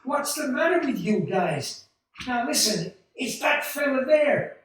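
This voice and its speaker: Frantic, hot-tempered family man male actor for a vintage radio play; high pitch, distant in an echoey room. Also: lively intonation, a little slow, fairly bright tone, full character voice. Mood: confused, pleading. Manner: agitated, stammering, insistent. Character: desperate, agitated American family man, bewildered and hot-tempered. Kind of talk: 1940s-style radio play acting.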